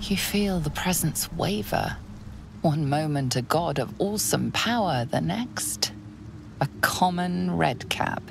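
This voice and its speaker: An actress as the solemn narrator of a fantasy video game, measured and dramatic. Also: female voice, deep voice, lively intonation, gravelly, noisy background, full character voice. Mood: ominous. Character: female storyteller narrator, solemn and ominous. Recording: loud music behind the voice.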